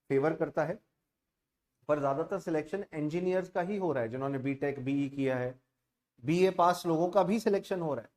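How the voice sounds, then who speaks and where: A man's voice: a little high, darkish tone. A man with an Indian accent giving an informal online exam-prep lecture as a coaching teacher, conversational and emphatic, switching between Hindi and English.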